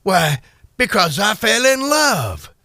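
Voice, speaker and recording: A man playing a warm-hearted, cheerful young gentleman, earnest and pleading, in a radio drama. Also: sits high, big pitch swings, takes it slowly, creaky, no echo, clean studio sound, voice pushed up, heavily acted.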